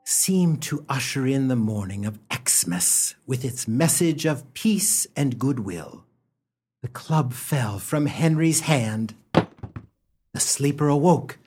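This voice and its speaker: A droll radio storyteller, played by a man, with a medium-pitched voice. He narrates theatrically, with mock solemnity, as in a scripted comic play. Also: animated, slow pace, a little mellow, breathy edge.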